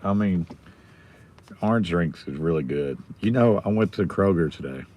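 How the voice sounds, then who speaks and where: A middle-aged man with a hoarse, raspy voice, casually chatting with viewers on his live stream. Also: low voice, lively intonation, moderate pace, a little mellow, a little hiss or hum. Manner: rambling, chatting with viewers by name.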